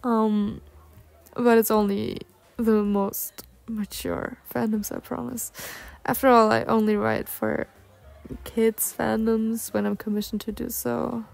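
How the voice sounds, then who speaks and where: Casting: female performer as bookish, insecure cougar-woman in her twenties, slow-spoken with some vocal fry, courteous and awkward, ASMR date roleplay. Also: fairly high pitch, level tone, darkish tone, heavily acted. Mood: sheepish, bragging. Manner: formal, proper wording with flustered hesitations.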